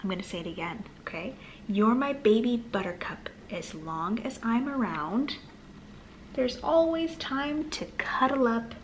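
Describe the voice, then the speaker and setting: A woman reading aloud to young children in a sing-song manner with gentle asides. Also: fairly high pitch, expressive, measured pace, a little hiss or hum, rough recording. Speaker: children's storytime host and reader. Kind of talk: read-aloud storytime for toddlers, addressing young children. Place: small living room, on a sofa, indoors.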